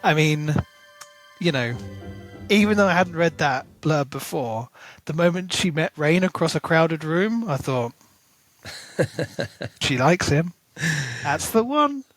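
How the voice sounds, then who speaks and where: A man's voice: on the high side, expressive, takes it slowly, pauses often, a bit bright, faint music behind. A man co-hosting a book podcast in casual, playful chat.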